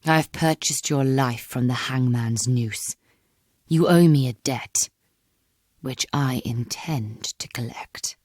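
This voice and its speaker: Casting: actress as imperious, menacing masked lady, extremely low female voice, slow and measured, ominous, audio drama. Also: a little mellow, no echo, clean studio sound, full character voice. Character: tall masked sinister noblewoman, imperious, menacing. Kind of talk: dramatic audiobook character acting.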